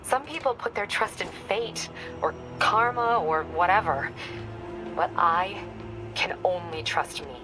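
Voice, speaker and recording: Low-voiced, hardened vigilante woman. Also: animated, rough recording. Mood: cold, resolute. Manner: measured, resolute, unapologetic. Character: hardened vigilante woman, cold, defiant, self-righteous. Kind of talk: dramatic video game dialogue. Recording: clear music bed behind voice.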